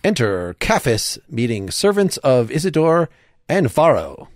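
A man's voice: medium pitch, very animated, a little slow, no echo. A man hosting an audio play reading, giving casual recaps and reading out stage directions. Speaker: podcast host and stage-direction reader.

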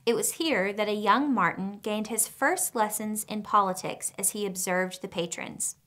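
A young woman presenting an educational video, reading a scripted narration in a clear, measured way in a studio. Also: medium pitch, bright, forceful tone. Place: studio with a plain wooden backdrop.

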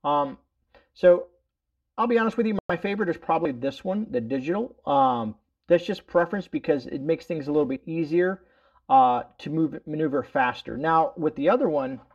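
A man's voice: on the high side, expressive, normal speed, muffled recording. A man hosting a casual product demonstration indoors at home, explaining things in a rambling, folksy way. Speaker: host of a product review video.

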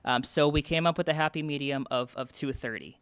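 Smooth-voiced young man explaining plans. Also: fairly high pitch, a little fast, fairly bright tone, dry recording. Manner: earnest, explanatory, frequent restarts and fillers. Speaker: event committee representative. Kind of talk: public meeting testimony. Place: town hall meeting room.

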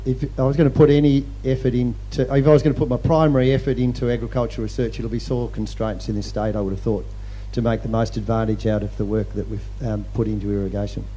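A man on a conference panel, speaking in measured phrases that trail off quietly. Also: medium pitch, flat delivery, slight background noise, low-quality recording. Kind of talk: panel discussion. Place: large hall stage.

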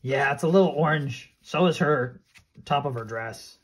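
A performer voicing a playful, curious pirate companion with a gravelly, husky voice, chatting simply along with a storybook reading. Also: mid-range voice, normal speed, slight background noise, close to an everyday voice. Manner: simple, curious remarks and questions. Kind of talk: casual read-along chat.

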